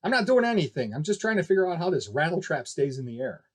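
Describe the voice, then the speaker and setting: A man's voice: fairly high pitch, sing-song, quick pace, pitched above the performer's own voice. A man voicing a cocky, scornful younger star pilot in an audiobook, grumbling and sour. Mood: defensive, sneering. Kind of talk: audiobook character dialogue.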